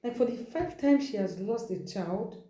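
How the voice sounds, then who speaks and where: Woman, very dark mellow tone, conversational storyteller, roomy echoing recording. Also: on the high side, expressive, moderate pace. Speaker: storytelling host. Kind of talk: informal storytelling from a listener's account.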